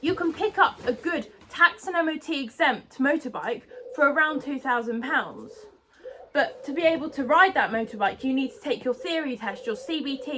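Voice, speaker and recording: A woman's voice: high voice, a bit bright, slight background noise. A young British woman, about nineteen, ranting indignantly into her phone outdoors by a road. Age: young adult. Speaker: vlogger. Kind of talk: ranting vlog. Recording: phone mic outdoors, cars passing.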